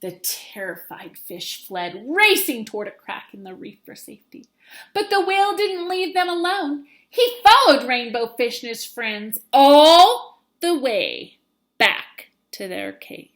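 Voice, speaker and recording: A woman's voice: high-pitched, big pitch swings, unhurried. A woman teacher reading a children's storybook aloud, expressively and with dramatic emphasis.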